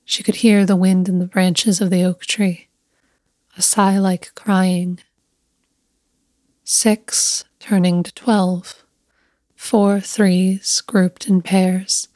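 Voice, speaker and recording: Even-toned woman narrating a story. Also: medium pitch, slow pace, pauses often, no room sound, studio quality. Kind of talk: scripted literary story narration.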